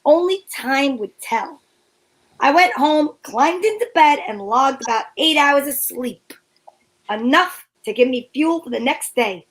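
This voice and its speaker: A woman's voice: high-pitched, lively intonation, unhurried, rough recording. A woman playing a plucky, curious female private detective in an amateur old-time radio drama, wry and wisecracking. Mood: wry narrating.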